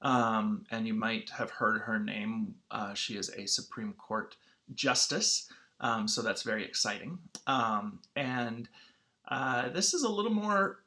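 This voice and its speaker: A man speaking as a schoolteacher in an informal read-aloud lesson for children, halting and often clearing his throat. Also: medium pitch, sharp tone.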